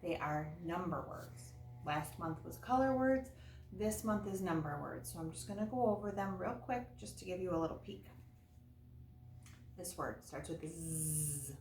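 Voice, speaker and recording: A woman's voice: on the low side, moderate pace, a little mellow. A woman teaching young children, explaining things simply, asking the listener questions and reading aloud. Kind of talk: early-grade lesson and read-aloud to children. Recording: roomy, distant mic, faint noise.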